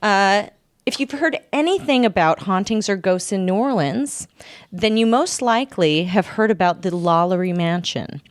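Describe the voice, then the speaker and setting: A woman podcast host with a smooth, clear voice in a close, dry recording. She chats casually and tells her story in an informal, joking manner. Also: medium pitch, animated, normal speed, no echo. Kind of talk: casual chat with storytelling from notes.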